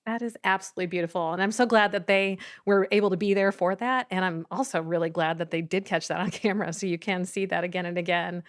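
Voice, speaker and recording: A woman with a fairly low voice hosts a podcast interview. She is encouraging and affirming as she leads the guest through her story. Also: steady tone, quick pace, few pauses. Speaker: podcast host. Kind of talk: interview host chat.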